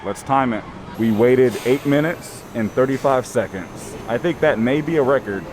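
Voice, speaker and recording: Male vlogger, slightly raspy, chatty and upbeat, recorded outdoors at a park. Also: mid-range voice, normal speed, noisy background. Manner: enthusiastic, chatty. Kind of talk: upbeat vlog narration.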